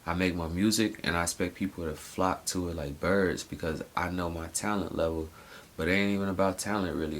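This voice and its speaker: A man's voice: low voice, gravelly, a little hiss or hum. A man in his late twenties talking through his day in a loose, rambling vlog monologue, full of slang and restarts. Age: 20s. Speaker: vlogger. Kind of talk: casual personal monologue.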